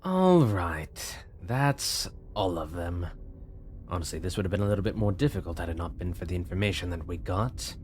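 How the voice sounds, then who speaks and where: A man's voice: low-pitched, lively intonation, normal speed, darkish tone, slight background noise. A man playing a cold, disdainful villain in an audio drama, speaking in a curt, threatening, condescending manner. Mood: satisfied, cool.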